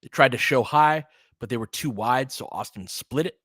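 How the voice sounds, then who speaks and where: A man's voice: medium pitch, normal speed, a bit bright, no echo, studio quality. A man doing live basketball play-by-play in short clipped phrases, with casual, partisan asides like a fan commentator.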